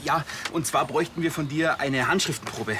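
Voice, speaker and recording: A man's voice: a little high, fairly quick, noisy background, close to an everyday voice. An actor playing an awkward, starstruck policeman who talks hesitantly in a TV crime comedy. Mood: awkward, sheepish. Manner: hesitant, bumbling.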